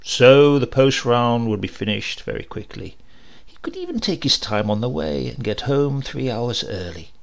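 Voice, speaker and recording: Male narrator, expressive literary reading, varied pitch, creaky edge, measured phrasing. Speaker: audiobook narrator.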